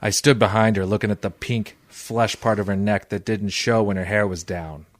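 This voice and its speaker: A man playing a hardboiled, cynical private detective in 1940s noir radio style, terse, tough and deadpan. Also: lowish voice, moderate pace, no echo, studio quality, lightly acted. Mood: deadpan narration.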